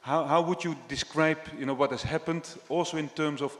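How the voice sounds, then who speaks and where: A man from the Netherlands, chairing a panel in a relaxed, chatty way with frequent ums. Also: on the high side, fairly quick. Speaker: session chair. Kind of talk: conversational panel hosting. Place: large hall on stage. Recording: echoey hall with long reverb.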